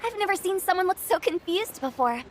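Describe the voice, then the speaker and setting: A woman's voice: extremely high pitch, expressive, a little fast, slight background noise. A woman voicing a quirky, theatrical, mischievous young female funeral director in a video game. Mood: showy, playful. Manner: playful, theatrical.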